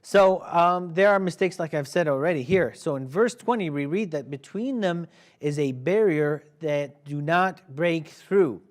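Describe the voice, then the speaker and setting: A man's voice: a little high, a little slow. A man whose first language is Arabic, firmly moderating and then arguing in a debate. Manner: firm, steering the talk.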